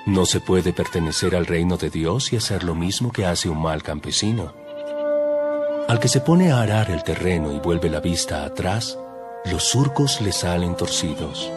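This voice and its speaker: A man's voice: deep voice, lively intonation, normal speed. A man playing a solemn, authoritative religious teacher in an audio Bible drama. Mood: solemn, instructive. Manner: solemn, instructive. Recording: music under the voice.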